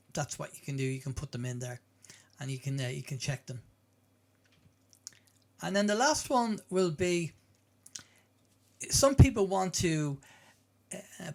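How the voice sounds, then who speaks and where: A man giving an informal, plain-spoken walkthrough as a presenter, explaining step by step and often repeating himself. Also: fairly high pitch. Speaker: presenter demonstrating web apps.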